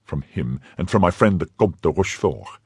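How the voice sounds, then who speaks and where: A man voicing a pompous, cowardly middle-aged shopkeeper in his own low, husky voice. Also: animated, normal speed, a little mellow, dry recording, clean studio sound, full character voice. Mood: smug. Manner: self-important, blustering. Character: pompous fifty-year-old mercer, cowardly and greedy.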